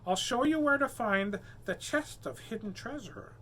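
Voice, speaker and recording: A man voicing a prim, aloof female mermaid in a read-aloud story, speaking in a slow, drawn-out, haughty way. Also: very high voice, animated, sharp tone, pitched above the performer's own voice, exaggerated voice.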